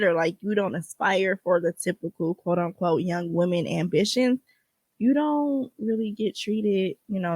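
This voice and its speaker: A woman's voice: medium pitch, darkish tone, no echo. A woman in her twenties chatting casually and frankly as a solo podcast host, slangy and free with swearing. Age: 20s (twenty-three).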